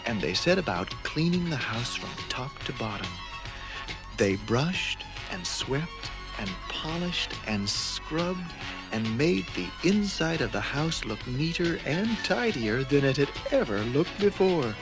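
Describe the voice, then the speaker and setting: A man narrates a children's story with lively, swinging intonation and a gravelly edge. He is measured, pausing for effect, over music on a read-along record. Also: a little high, poor audio.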